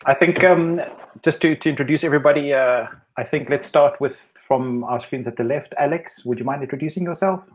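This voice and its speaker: A man hosting and moderating a live online chat, talking in a relaxed way with small stumbles. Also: fairly high pitch, fairly quick. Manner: relaxed, stumbling over words. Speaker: host and moderator. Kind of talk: casual live stream chat.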